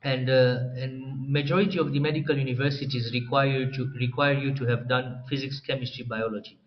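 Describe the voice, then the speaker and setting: A young man from Tanzania, a doctor training in psychiatry, explaining his career path as an interview guest in a relaxed way. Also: medium pitch, a little fast. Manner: explanatory, relaxed, clears throat often. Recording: slightly dull, faint room noise.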